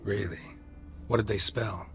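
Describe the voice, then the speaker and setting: An actor playing a stoic, laconic monster hunter in a fantasy video game, speaking in a terse deadpan. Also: male voice, lowish voice, unhurried. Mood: dry. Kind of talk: video game dialogue acting. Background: music.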